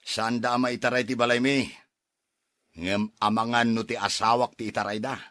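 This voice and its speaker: A man playing a suspicious, jealous husband and stern father in a radio drama, with a gruff, accusing manner. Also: mid-range voice, level tone, moderate pace, a bit bright, dry recording, clean studio sound, lightly acted. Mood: uneasy, suspicious.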